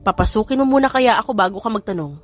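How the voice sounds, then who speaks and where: A woman's voice: mid-range voice, lively intonation, racing, close to an everyday voice. A woman with a Filipino accent voicing a cocky young ex-boyfriend who coaxes and whines in a romance story. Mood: coaxing. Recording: music bed behind voice.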